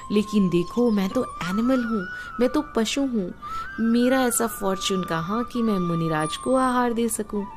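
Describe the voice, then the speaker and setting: Woman, Indian accent, children's storyteller, explanatory manner, expressive melodic delivery, slow pace, clear music bed. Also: medium pitch. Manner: explanatory, asks the listeners questions and glosses terms. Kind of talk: animated moral storytelling for children. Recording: music behind the voice.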